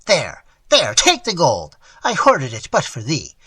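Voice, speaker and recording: A reader voicing a frail old male miser who cries out in high, shaking outbursts. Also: huge pitch swings, measured pace, bright, forceful tone, husky, dry recording, full character voice. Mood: pleading. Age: over 60.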